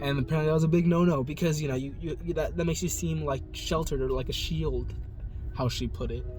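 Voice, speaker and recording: A man's voice: a little high, steady tone, fast, over music. A young man vlogging in a loose, rambling way, swearing freely and filling gaps with likes and ums. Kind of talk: casual vlog chat. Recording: noisy background ambience.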